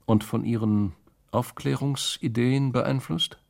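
A man narrating literary fiction as an audiobook reader, deliberate and dramatic in his storytelling. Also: medium pitch, steady tone, creaky, a little mellow. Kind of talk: literary narration with acted dialogue.

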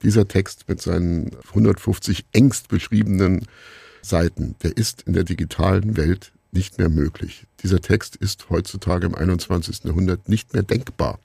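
A middle-aged man giving reflective answers as an interview guest, musing and haltingly searching for words. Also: sits low, gravelly, mellow, husky, dry recording. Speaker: interview guest, radio play maker.

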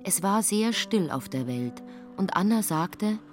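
A woman's voice: mid-range voice, expressive, unhurried, soft background music. A woman narrating a literary radio drama in a plain, matter-of-fact way.

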